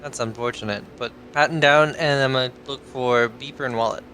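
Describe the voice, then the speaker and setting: A man's voice: medium pitch, fairly even intonation, brisk, sharp tone, slight background noise. A man playing a tabletop game, stating his character's actions in a blunt, matter-of-fact, casual way.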